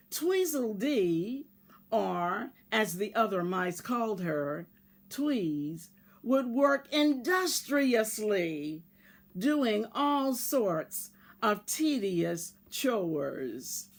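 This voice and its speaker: A woman reading a picture book aloud for children in a measured way, pausing to address young listeners. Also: mid-range voice, sing-song. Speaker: volunteer children's story reader.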